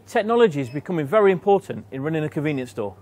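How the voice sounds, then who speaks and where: A man presenting and interviewing shop owners in brisk, upbeat questions. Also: a little high, sing-song, a little mellow, slight background noise. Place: shops and community hall, indoors.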